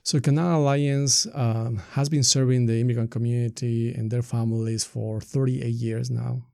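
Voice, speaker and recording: Gravelly man with an Ecuadorian Spanish accent. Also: medium pitch, steady tone, normal speed, warm tone, no room sound, studio quality. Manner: earnest, explanatory, uses rhetorical questions. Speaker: nonprofit staff member being interviewed. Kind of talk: reflective interview answers.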